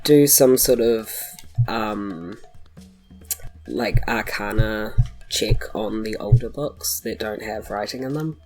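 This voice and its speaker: A man's voice: lowish voice, slow, a little mellow, soft background music. A man playing a tabletop game, asking tentative questions in a casual chatty way.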